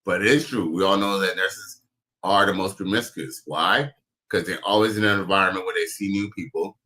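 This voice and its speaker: A man with a low voice and a bright, forceful edge runs a loose commentary. He rambles, swears freely and keeps losing his train of thought mid-sentence. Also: steady tone, moderate pace, rough recording. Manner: rambling, profane, searching for words. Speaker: commentary show host. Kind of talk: casual reaction commentary.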